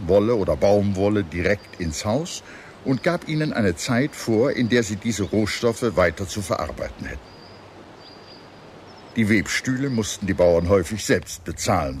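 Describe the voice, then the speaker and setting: A man reading scripted historical narration as a documentary narrator, in a measured, formal manner. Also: lowish voice, slight background noise. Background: music behind the narration.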